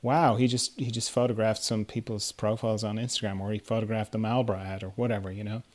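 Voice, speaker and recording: A man interviewing in a casual, conversational way, halting and circling as he works toward his question. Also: on the low side, little pitch movement.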